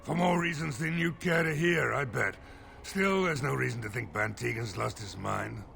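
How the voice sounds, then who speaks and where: An actor playing a blunt, weary village militia leader with a rough folksy way of talking in a fantasy video game. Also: male voice, mid-range voice, normal speed, fairly bright tone, slight background noise. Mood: blunt, wary. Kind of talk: video game character dialogue.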